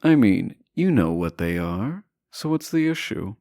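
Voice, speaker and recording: A man playing a teasing, self-assured deposed prince in a romantic audio roleplay, speaking softly and deliberately with courtly, formal phrasing. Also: lowish voice, very animated, dry recording, clean studio sound. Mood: probing, defensive. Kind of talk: romantic audio roleplay acting, addressing the listener.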